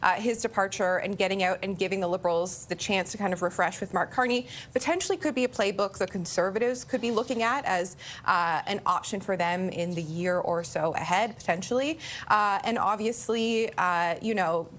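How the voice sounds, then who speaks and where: A woman commenting on politics on a television panel, relaxed and chatty with easy filler words. Her voice sits at a middle pitch. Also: brisk, slight background noise.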